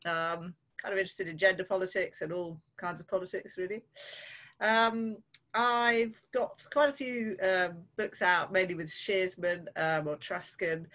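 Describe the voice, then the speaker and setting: A woman poet and academic, introducing herself briskly over a video call with thoughtful pauses. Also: on the low side, steady tone, a bit bright. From Yorkshire.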